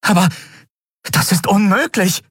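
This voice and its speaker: Guy doing an angry woman in an audiobook, raised pitch, harsh and demanding, sounds desperate, dry recording. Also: huge pitch swings, measured pace, slightly rough, no room sound, heavily acted. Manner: demanding, sharp, exclaiming.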